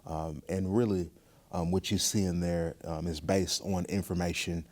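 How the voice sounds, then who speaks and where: A man answering as an interview guest in a measured, explanatory way. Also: low voice, steady tone, darkish tone, dry recording. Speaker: museum director, podcast guest. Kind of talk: conversational interview.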